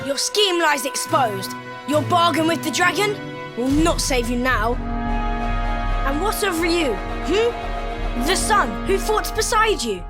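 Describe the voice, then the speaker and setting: A woman's voice: high-pitched, sing-song, slow, loud music behind the voice, heavily acted. A woman voicing a fiery, accusing young boy in a video game cutscene, with theatrical, declamatory delivery. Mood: accusing, scornful.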